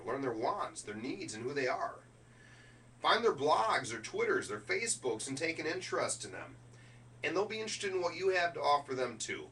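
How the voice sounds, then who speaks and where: A man's forceful, bright voice delivers a motivational keynote with deliberate emphasis on key words. He is picked up from a distance, with a faint layer of noise and hum underneath. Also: on the high side. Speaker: keynote speaker. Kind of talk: motivational business keynote speech.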